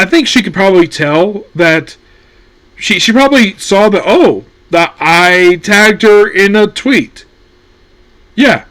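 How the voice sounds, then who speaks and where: A man with a slightly high voice talks through anime news in a loose, meandering way. He stops and restarts often, filling gaps with ums and uhs while he thinks. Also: bright, forceful tone, low-quality recording. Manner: rambling, many fillers and restarts. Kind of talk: casual solo commentary.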